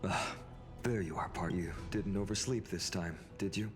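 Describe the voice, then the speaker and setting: Actor as earnest hero comrade, music behind. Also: male voice, low-pitched, normal speed, close to an everyday voice. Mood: calm, wry. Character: warm young male hero, earnest, lightly teasing comrade. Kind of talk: scripted video game acting. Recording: game dialogue under loud music.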